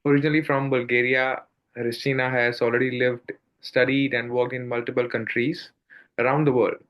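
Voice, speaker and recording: A man's voice: medium pitch, little pitch movement, moderate pace, lo-fi sound. A man hosting an interview show, reading an introduction and asking questions with frequent uhs and you-knows. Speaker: podcast host.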